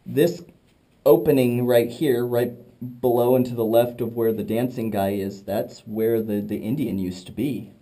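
A man's voice: mid-range voice, fairly even intonation, a little slow, warm tone. A man chatting and joking as he films inside a cramped cave on a head-mounted camera. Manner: wry, joking, rambling. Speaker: cave explorer filming. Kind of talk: casual chat while caving. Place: tight underground cave.

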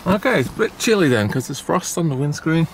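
A man's voice: a little high, animated, fairly quick, noisy background. A man chatting casually into a handheld camera as he walks through an apartment and out to his car, rambling and self-deprecating. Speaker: travel vlogger. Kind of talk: casual handheld vlog narration. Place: apartment, stairwell and icy outdoor car park. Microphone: handheld camera mic.

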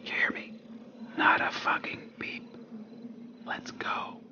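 An actor playing a tense raid leader in a video game, giving terse, hushed orders as a man on the prowl. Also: slow pace, whispered, highs cut off, lightly acted. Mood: tense, commanding. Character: tense, foul-mouthed man leading a stealthy raid. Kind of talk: whispered video game acting.

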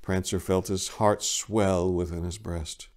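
A man's voice: low-pitched, level tone, measured pace, darkish tone. A man in his forties giving a theatrical, mock-earnest dramatic reading of a comic romance story, recorded indoors on a lapel mic. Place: indoors, book-lined room.

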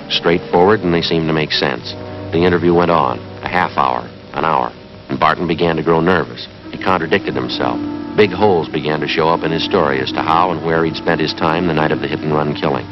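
Deadpan male detective narrator, clipped, music behind, dull 1950s police drama soundtrack. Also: extremely low pitch. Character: terse male detective narrating his case.

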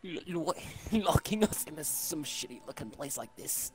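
A man's voice: fairly high pitch, sing-song, normal speed. A young man joking casually while dubbing a video game among friends. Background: background game music.

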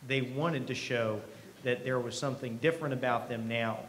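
A middle-aged man speaks to an event from a podium microphone, with clear ambient noise around him. His voice sits at an average pitch. He delivers his keynote in a conversational, earnest way, pausing deliberately to drive points home. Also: fairly bright tone. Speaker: company president, keynote speaker. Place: event hall stage. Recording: live podium speech with clear background noise.

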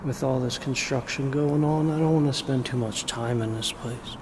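A man casually narrating a walkthrough of an empty jail, pointing things out in a low-key, conversational way. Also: moderate pace. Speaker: urban explorer host. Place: large empty jail building indoors. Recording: camera audio with steady background noise.

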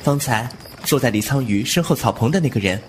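一名男演员在武侠广播剧中饰演一位莽撞顽皮、言辞犀利的年轻侠客。. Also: male voice, medium pitch, expressive, fairly quick, a little hiss or hum, close to an everyday voice. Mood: scornful. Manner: impulsive, sharp-tongued, irreverent. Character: brash, cheeky young male martial-arts hero. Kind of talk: Chinese wuxia audio drama acting.

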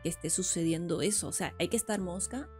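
A woman's voice: lowish voice, fast talker, a little mellow, soft background music. A woman, chatting casually into a headset mic while she reacts to a video and adds her own comments. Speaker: reaction streamer.

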